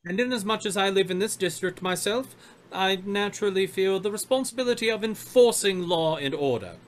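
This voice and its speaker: A man's voice: sits high, lively intonation, a little fast, a little hiss or hum. A man playing a stern, officious country doctor in a radio mystery, formal, pompous and unyielding. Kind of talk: old-time radio mystery acting.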